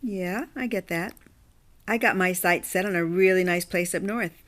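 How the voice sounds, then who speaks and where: A woman's voice: fairly low pitch, moderate pace, natural voice. A woman playing a blunt, independent blue-collar plumber with a casual, dry manner in an audio drama.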